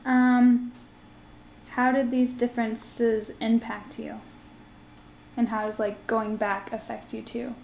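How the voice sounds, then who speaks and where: A woman's voice: a little high, level tone, slow, vocal fry, darkish tone, echoey room, a little hiss or hum, rough recording. A young woman asking interview questions casually and encouraging answers with mm-hmms.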